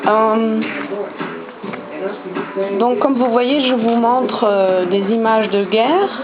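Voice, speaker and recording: A woman's voice: fairly high pitch, extremely slow. Young woman, hesitant casual storyteller, lo-fi recording with background music. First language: German. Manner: hesitant, many fillers and restarts. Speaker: vlogger.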